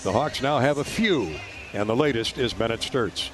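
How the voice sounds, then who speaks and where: A man's voice: mid-range voice, animated, moderate pace, over music. An American man working as a radio announcer, giving live play-by-play commentary of a basketball game. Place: basketball arena.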